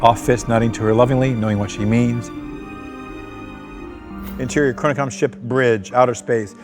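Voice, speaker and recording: A man's voice: medium pitch, fairly even intonation, moderate pace. A man reading screenplay stage directions aloud as scripted narration at a table read. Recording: music behind the voice.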